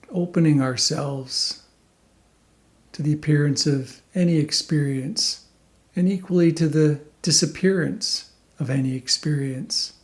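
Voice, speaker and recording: Slow-speaking man guiding a meditation. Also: on the high side. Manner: calm, unhurried phrases with long pauses. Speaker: meditation teacher. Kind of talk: guided meditation narration.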